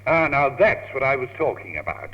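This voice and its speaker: An actor with a British accent playing a dry, worldly, teasing chap in an old radio comedy. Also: male voice, mid-range voice, big pitch swings, normal speed. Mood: wry exasperated. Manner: wry, sardonic, urbane. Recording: dull, noisy old radio tape, clicks.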